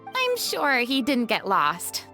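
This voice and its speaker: A woman's voice: fairly high pitch, huge pitch swings, slow pace, sharp tone, soft background music, exaggerated voice. A woman voicing a theatrical, breezy young female stage star in a game dub, with a dramatic, chatty delivery. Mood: breezy, self-assured. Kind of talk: English game dub voice acting.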